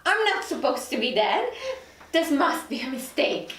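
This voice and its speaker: An incredulous, indignant newcomer played by a woman speaks her dramedy lines in a high, very lively voice that swings around in pitch. She is recorded from a distance in a roomy space with faint noise behind. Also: unhurried, full character voice. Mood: shocked, confused. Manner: incredulous, expressive. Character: indignant, bewildered newly dead woman in hell. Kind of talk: table read of a TV dramedy script. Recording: echoey room, distant mic, faint noise.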